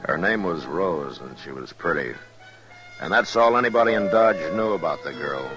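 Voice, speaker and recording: A man's voice: sits low, expressive, normal speed. A man playing a watchful, lonely US marshal in a radio western, with an American accent and a terse, world-weary delivery. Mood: brooding. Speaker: lead actor and narrator. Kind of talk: old-time radio western acting and narration. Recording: old radio recording with music.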